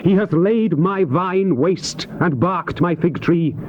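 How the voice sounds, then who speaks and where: An actor playing a fervent Old Testament prophet in a dramatized scripture recording, declaiming solemnly with drawn-out emphasis. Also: male voice, high-pitched, darkish tone. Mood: mournful. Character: fervent male Old Testament prophet crying lament and warning. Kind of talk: dramatized scripture recitation, classical stage declamation. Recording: dull hissy old cassette tape recording.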